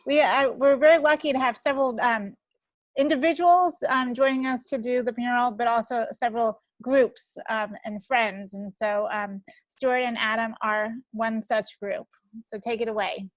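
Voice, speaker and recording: A woman's voice: on the high side, fairly even intonation, moderate pace, no room sound. A woman moderating a panel on a video call, giving polite, brief introductions. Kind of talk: hosting a panel.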